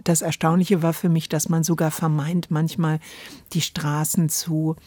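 A woman's voice: low-pitched, little pitch movement, a little slow, warm tone, no room sound. A woman hosting a radio programme, talking reflectively and choosing thoughtful, poetic phrases in conversation. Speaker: radio show host. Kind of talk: reflective two-person conversation about radio drama.

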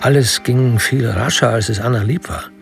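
A man with a creaky voice narrating a radio crime play in a literary storytelling style. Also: mid-range voice, normal speed. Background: music.

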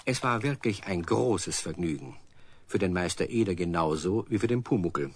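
A man's voice: low voice, expressive, moderate pace, slight background noise. A man narrating a children's radio play as a storyteller, speaking directly to young listeners. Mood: storytelling.

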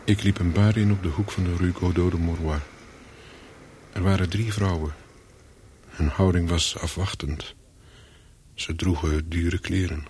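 A calculating, hunted spy tells his own story in a radio play. His voice is low and heavily husky, and he speaks in short, brooding sentences. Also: steady tone, measured pace, long pauses, darkish tone, heavily acted. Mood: watchful. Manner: terse, brooding, clipped sentences. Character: wary, calculating male spy on the run, narrating. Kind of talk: first-person radio play narration with dialogue.

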